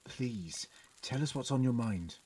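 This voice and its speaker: A man acting a calm, caring Time Lord doctor in an audio drama. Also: medium pitch, a little slow, breathy edge. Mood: gentle, concerned. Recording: noisy fan audio drama recording.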